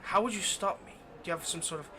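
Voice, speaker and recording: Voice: medium pitch, fast talker, background noise, natural voice. Smug, teasing vampire, acted out for roleplay. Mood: mocking amusement. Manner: teasing, condescending, drops to whispers. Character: smug possessive vampire, teasing, confident, menacing predator. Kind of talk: ASMR roleplay monologue.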